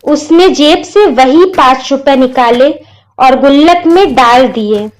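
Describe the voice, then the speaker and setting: High-voiced, slow-paced woman storyteller. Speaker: narrator. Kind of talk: scripted narration of a moral story. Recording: music under the voice. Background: instrumental music.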